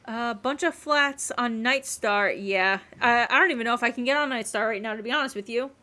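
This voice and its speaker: A woman game streamer chatting casually to her viewers in a rambling, animated way with emphatic repeats. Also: a little high, brisk. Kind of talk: casual livestream chat to viewers.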